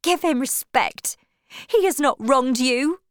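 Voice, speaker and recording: A proud, troubled young farm woman played by a woman narrator, her voice lifted high and urgent. She protests with distress in a dry storybook recording. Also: lively intonation, slow pace, a bit bright, no echo, heavily acted. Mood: firm, upset.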